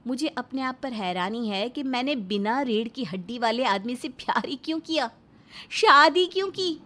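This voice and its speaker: High-pitched defiant cartoon wife acted by a woman. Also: lively intonation, moderate pace, sharp tone. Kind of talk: Hindi moral-story cartoon dialogue.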